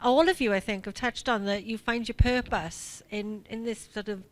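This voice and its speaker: A woman's voice: mid-range voice, steady tone, moderate pace. A woman in her forties speaking enthusiastically and chattily at a forum panel. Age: 40s. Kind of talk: conversational forum remarks.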